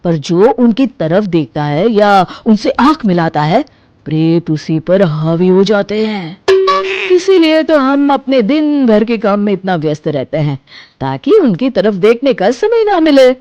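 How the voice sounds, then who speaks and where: A woman voicing a patient, clever young farmer husband in an animated story, speaking in a calm, gently persuasive way. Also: mid-range voice, a little slow, no room sound, highs cut off.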